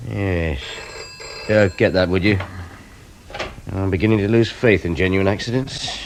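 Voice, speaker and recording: An actor playing a weary, dry, curt British police inspector in a radio drama. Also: male voice, sits low, a little slow, slight background noise, low-quality recording.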